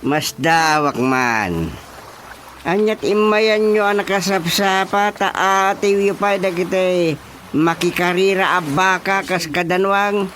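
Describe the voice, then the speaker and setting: A man's voice: sits high, slow, a bit bright, slight background noise. A man playing an exasperated, comic village chairman with an Ilocano accent in a radio comedy drama, exclaiming and muttering. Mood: incredulous, agitated.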